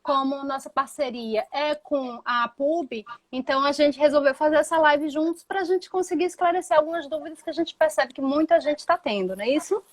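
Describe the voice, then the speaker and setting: A woman's voice: high-pitched, a little fast, hardly any pauses. A woman lawyer hosting a live video conversation, introducing her guest and explaining a legal aid project, often adding tag questions.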